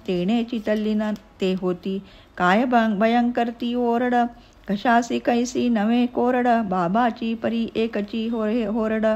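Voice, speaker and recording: A woman reciting devotional narrative verse in a measured way. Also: fairly high pitch, darkish tone, lo-fi sound.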